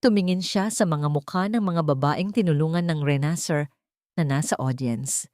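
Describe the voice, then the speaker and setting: A woman's voice: low voice, normal speed, a little mellow, no echo, studio quality. A woman narrator reading a scripted dramatic story.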